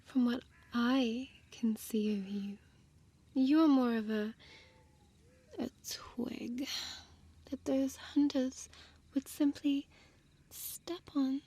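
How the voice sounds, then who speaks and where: Woman acting as a slow, breathy, taunting female vampire. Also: sits high, dark tone, exaggerated voice. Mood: mocking, teasing. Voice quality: breathy, often dropping to a whisper. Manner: slow, teasing, condescending, formal diction. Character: small deadly female vampire, mocking, seductive predator. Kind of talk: intimate audio roleplay addressed to listener.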